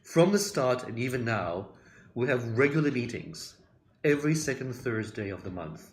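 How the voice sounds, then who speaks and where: An older man from Hong Kong and Australia giving a prepared, measured explanatory talk to the camera as a community organizer, recorded in a room at home. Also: medium pitch, animated. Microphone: phone or webcam at arm's length.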